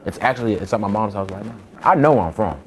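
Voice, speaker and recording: A man's voice: on the low side, lively intonation, fast talker, background noise. A man improvising a prank, joking and boasting with frequent laughs while pretending to be a job candidate.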